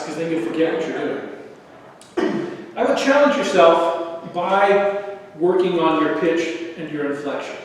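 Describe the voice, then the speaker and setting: A man with a tenor voice evaluating a speech at a club meeting, measured and encouraging. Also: fairly high pitch, slight background noise. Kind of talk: spoken feedback. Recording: echoey hall from far off.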